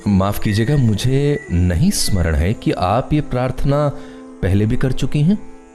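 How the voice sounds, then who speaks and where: A man's voice: mid-range voice, expressive, measured pace, mellow, faint music behind, close to an everyday voice. Man acting an aloof, philosophical forest recluse. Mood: lofty, philosophical. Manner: formal, literary, argumentative diction. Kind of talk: radio play acting.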